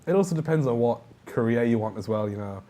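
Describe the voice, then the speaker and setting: A man's voice: medium pitch, expressive, quick pace, warm tone. A young man with a North East English accent, chatting casually as a student show co-host. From Northern England. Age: young adult. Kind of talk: casual chat.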